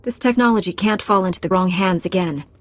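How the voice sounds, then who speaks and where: A woman's voice: mid-range voice, brisk, dry recording, natural voice. A woman playing a composed, resolute Jedi knight in a video game, speaking directly and firmly. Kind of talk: video game dialogue acting.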